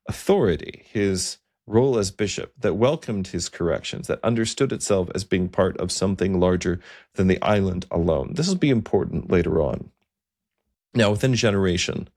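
Deep-voiced man telling church history. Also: a little mellow. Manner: measured storytelling with frequent fillers and restarts. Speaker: podcast host. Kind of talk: history podcast narration, unscripted.

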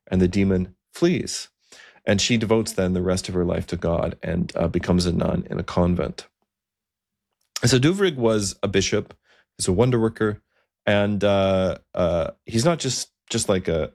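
A man's voice: sits low, a little mellow. A man hosting a podcast, telling a historical story in an earnest, unscripted way with frequent ums and restarts. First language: not a Welsh speaker. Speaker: podcast host.

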